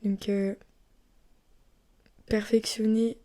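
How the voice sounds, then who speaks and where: A young woman from France chatting casually and rambling on her own podcast, often dropping into soft whispery asides. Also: mid-range voice, level tone, very slow. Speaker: podcast host. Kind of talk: casual solo podcast chat.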